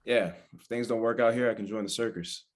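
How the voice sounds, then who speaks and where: A man's voice: mid-range voice, a little fast, close to an everyday voice. A man reading the part of an exasperated, wry young man scolding his pal in a script read.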